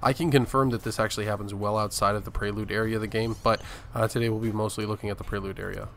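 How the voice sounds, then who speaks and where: A man's voice: fairly low pitch, fairly even intonation, fast, creaky, a bit bright, a little hiss or hum. An American man from Arizona hosting a gaming video with casual gameplay commentary. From Arizona, United States. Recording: voice-over with faint game music.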